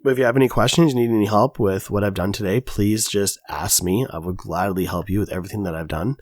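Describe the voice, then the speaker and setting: Raspy man explaining code casually. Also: on the low side, fast, no room sound, studio quality. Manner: casual, chatty, many fillers. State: raspy voice from recovering illness. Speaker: tutorial host. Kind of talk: screen-recorded coding tutorial.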